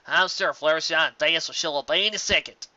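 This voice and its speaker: A reader voicing a steady, practical, folksy female farm pony in a fan fiction story. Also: mid-range voice, fairly quick, no room sound, lo-fi sound. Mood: reassuring.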